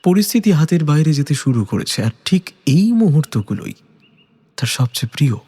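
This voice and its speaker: A man's voice: on the high side, sing-song, a little fast, warm tone, slightly rough. A man narrating a detective audio story from a script in a dramatic style.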